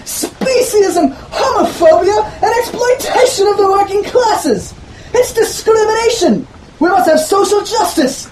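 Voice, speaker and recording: A man's voice: very high voice, a little mellow, echoey room, slight background noise, low-quality recording. A man voicing a hand-wringing college professor who declaims in outrage.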